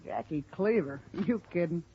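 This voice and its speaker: A woman's voice: on the low side, animated, moderate pace, slightly rough. A woman acting a nosy, folksy American hotel desk clerk, slangy and familiar, in a 1950s radio drama. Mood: curious, familiar. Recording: muffled old radio broadcast.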